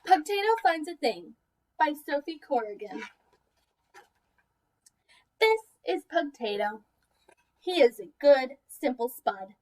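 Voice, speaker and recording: A high-voiced woman speaks as a teacher reading a picture book to small children. Her delivery is expressive and deliberate, with questions put to the listeners, and she sounds a little way from the microphone. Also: distant mic, low-quality recording.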